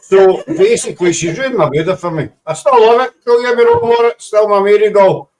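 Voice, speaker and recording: A Glasgow-accented man hosts a podcast over a video call, rambling and making fun of himself. His voice sits a little high for a man. A faint hiss runs underneath. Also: a little fast, darkish tone, low-quality recording. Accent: Glaswegian. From Scotland. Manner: jokey, self-mocking, rambling. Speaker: podcast host. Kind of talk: casual banter on a video call.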